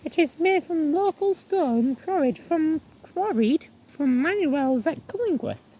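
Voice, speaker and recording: A woman with a croaky voice walking outdoors, chatting casually to her viewers and reading signs aloud with many restarts. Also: high-pitched, expressive, mellow, slight background noise, poor audio. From England. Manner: rambling, restarts words, reads signs aloud haltingly. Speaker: vlogger. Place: outdoors on a viaduct.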